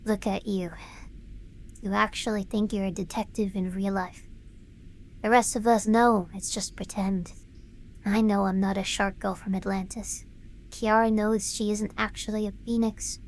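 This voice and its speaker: A woman's voice: mid-range voice, natural voice. A woman playing a hurt, combative young streamer in an audio drama, talking in a sarcastic, confrontational way.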